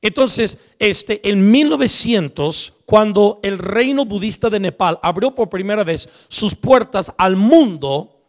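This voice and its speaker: Male guest pastor, Latin American Spanish accent, high-pitched voice, expository preaching with emphatic repetition and rhetorical questions. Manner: emphatic, repetitive phrasing with drawn-out key words.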